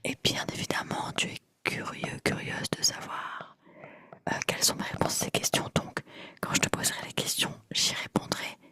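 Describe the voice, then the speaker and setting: A woman in her twenties whispering close to the mic in a chatty ASMR video, rambling and repeating trigger words softly. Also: moderate pace. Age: 20s. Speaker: ASMR creator.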